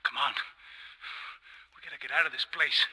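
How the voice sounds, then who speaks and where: A man's voice: mid-range voice, moderate pace, slightly rough, rough recording. An actor playing an urgent, streetwise gunman partner in a video game, talking in an informal, pressed way. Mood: grim, tense. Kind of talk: video game cutscene acting. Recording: loud action noise and music behind.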